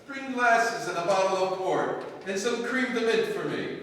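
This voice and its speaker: Self-assured English charmer, theatrical 1940s radio style, genial and teasing, far-off echoing sound. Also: male voice, sits high, moderate pace, fairly bright tone. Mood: suave, genial. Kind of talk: 1940s radio drama acting. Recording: echoey, distant old radio recording, faint noise.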